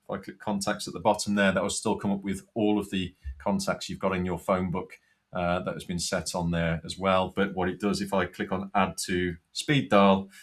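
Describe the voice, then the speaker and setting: A man's voice: deep voice, flat delivery, normal speed. A man giving an informal, unscripted product tutorial, explaining things step by step. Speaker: product demonstrator.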